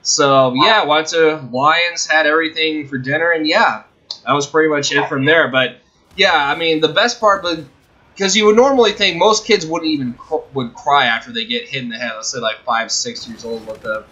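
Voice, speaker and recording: Bright-voiced young man, rambling storyteller. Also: on the high side, highs cut off. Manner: rambling, halting, self-correcting. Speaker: video host. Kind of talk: casual personal storytelling.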